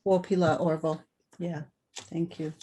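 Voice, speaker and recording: A woman hosting a gathering, introducing guests in a soft-spoken, gently halting way. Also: sits low, flat delivery, a little hiss or hum. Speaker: event host. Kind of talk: welcoming introductions.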